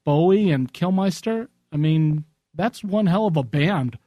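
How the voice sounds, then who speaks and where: A man with a moderately high voice co-hosts a casual talk show. He speaks in a measured, hesitant way, and the recording is dry with little room sound. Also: animated, dark tone, no echo. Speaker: co-host. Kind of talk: casual chat.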